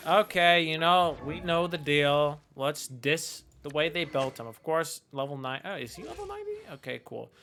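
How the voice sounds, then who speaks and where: Twenties man, game streamer, bright sharp voice, casual slangy commentary, jokey, faint background music. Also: fairly high pitch. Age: 20s.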